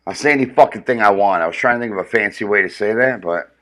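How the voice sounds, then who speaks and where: A man's voice: fairly low pitch, fast talker, a bit bright. A man with a powerful voice, ranting casually and profanely with lots of restarts during a comedic livestream. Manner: profane, rambling, restarts often.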